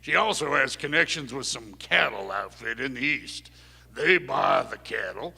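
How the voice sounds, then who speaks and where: An actor playing a chatty, bragging outlaw cowboy in a live Western radio play, with a folksy drawl. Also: male voice, medium pitch, lively intonation, moderate pace, gravelly, sharp tone, breathy edge, heavily acted. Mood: boastful, confiding. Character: chatty, boastful male cowboy outlaw gang member. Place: performing arts theater stage. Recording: very reverberant hall, stage performance.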